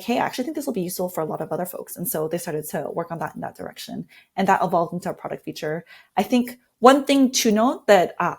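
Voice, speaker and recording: A woman's voice: medium pitch, breathy edge. A woman speaking as an interview guest, explaining and walking through tips in a conversational way. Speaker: interview guest, design lead.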